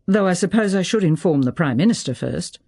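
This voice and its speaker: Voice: mid-range voice, lively intonation, brisk, vocal fry, a little mellow. A narrator acts out a younger female minister in an audiobook thriller. She is curt and matter-of-fact, with frustration held behind terse replies. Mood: guarded.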